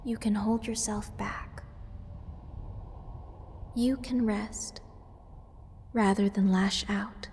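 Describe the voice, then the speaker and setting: Woman playing a slow, doting, unhinged mage. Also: mid-range voice, fairly even intonation, a little mellow, a little hiss or hum. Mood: soothing, wistful. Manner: sweetly rambling, fond of endearments, wry. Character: eccentric, curse-loving female mage, doting and unhinged. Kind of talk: ASMR fantasy roleplay addressed to the listener.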